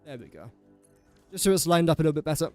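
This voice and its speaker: A man's voice: on the high side, lively intonation, quick pace, darkish tone, dry recording, faint music behind. Young English man with a dry, close sound. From England. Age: young adult. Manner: self-deprecating, talks to the objects he places. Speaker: gaming streamer. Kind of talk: casual live gameplay commentary.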